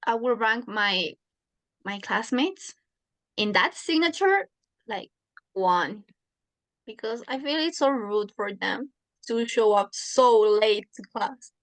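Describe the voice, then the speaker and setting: Slow, giggly teenage woman. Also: fairly high pitch, animated, no echo. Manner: chatty, giggly, teasing. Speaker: university student, interviewee. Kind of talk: casual conversation practice.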